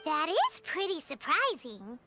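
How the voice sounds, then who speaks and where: A woman voicing a tiny, childlike fairy companion in an anime game, squeaky, cheeky and exclamatory. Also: huge pitch swings, measured pace, music in the background, exaggerated voice. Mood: surprised. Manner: exclamatory, talks of self in third person.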